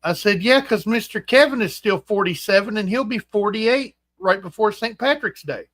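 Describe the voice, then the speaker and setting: A man in his forties hosting a casual livestream chat, rambling and halting with fillers and restarts. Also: sits high, animated, fairly bright tone, no room sound. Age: 40s. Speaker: host.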